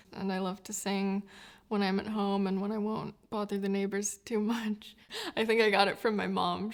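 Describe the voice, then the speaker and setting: A woman in her twenties, a violinist raised in the United States, speaking reflectively in a documentary interview and laughing often. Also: medium pitch. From New Zealand, raised in the United States. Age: 20s.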